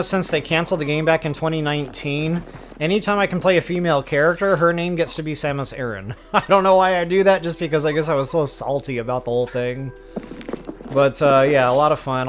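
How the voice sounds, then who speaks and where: A man hosting a game stream, chatting casually to viewers in an informal, self-deprecating, rambling way. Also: on the high side. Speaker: host of a video game walkthrough stream.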